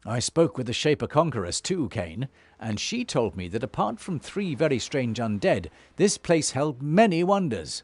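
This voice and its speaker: An actor playing an earnest, inquisitive young hero in a fantasy game, speaking in a direct, questioning way. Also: male voice, medium pitch, very animated, moderate pace, breathy edge, soft background music. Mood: eager. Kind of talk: fantasy game cutscene acting.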